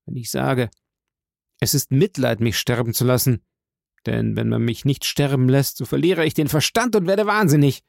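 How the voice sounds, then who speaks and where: A man's voice: medium pitch, moderate pace, vocal fry, no echo, clean studio sound, natural voice. A man voicing a despairing, defiant young soldier in an audiobook, rising to anguished outbursts. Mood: pleading.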